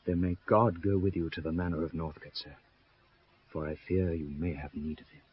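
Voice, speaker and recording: A man's voice: sits low, steady tone, normal speed, close to an everyday voice. An actor playing a solemn, foreboding local man who warns strangers in a radio horror play. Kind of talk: 1970s radio horror drama acting. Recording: hissy, dull 1970s radio recording.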